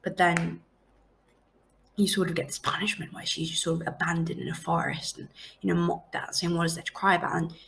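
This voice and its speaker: A young woman from Hong Kong talks through her poems in a lowish voice with a gravelly edge. She is chatty and quick, full of "um" and "like". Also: fairly even intonation, fairly bright tone, slight background noise, poor audio. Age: young adult. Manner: chatty, quick, frequent fillers and likes. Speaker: poet. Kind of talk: casual talk about her poems.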